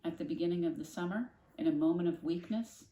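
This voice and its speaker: A woman reading a children's story aloud in a gentle, cozy manner, pausing to explain things to young listeners. Her voice sits on the low side. The recording has a roomy echo around it. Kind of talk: read-aloud storytelling with casual asides. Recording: echoey room.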